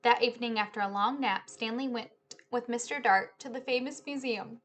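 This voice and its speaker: A woman's voice: fairly high pitch, moderate pace, a bit bright, slight background noise. A woman in her thirties reading a children's book aloud and explaining it to young listeners at home. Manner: addresses listeners as friends, explanatory. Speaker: teacher-style read-aloud host. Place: small living room or home office, indoors.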